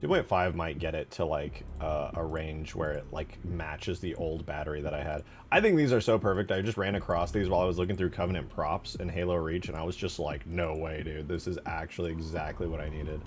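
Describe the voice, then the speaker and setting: Low-voiced man casually thinking aloud. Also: a little fast, few pauses, a little hiss or hum. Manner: thinking aloud, informal slangy phrasing. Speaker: game modder hosting a development stream. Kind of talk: casual unscripted commentary while working.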